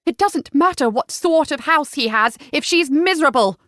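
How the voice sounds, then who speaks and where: A spirited young gentlewoman in a historical romance , acted by a female narrator. She sounds high-voiced, forthright and defiant, pressing every point with emphasis. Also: expressive, normal speed, dry recording, studio quality, lightly acted. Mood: reproachful. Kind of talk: historical romance audiobook acting.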